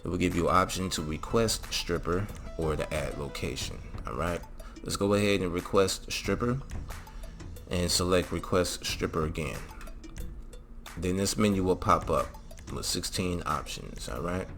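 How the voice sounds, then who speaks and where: A man's voice: deep voice, moderate pace, creaky, fairly bright tone, soft background music. A man narrating a casual step-by-step game tutorial, instructing and often checking in with the listener. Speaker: host of a game mod tutorial.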